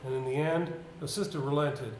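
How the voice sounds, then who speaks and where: Burdened, reluctant villager played by a male stage actor, halting dramatic delivery, higher pitch, echoey theater. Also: fairly even intonation, a little mellow, close to an everyday voice. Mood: sorrowful recounting. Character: troubled, reluctant local man burdened by village secrets. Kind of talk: live amateur stage play acting. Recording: echoey, noisy amateur theater stage recording.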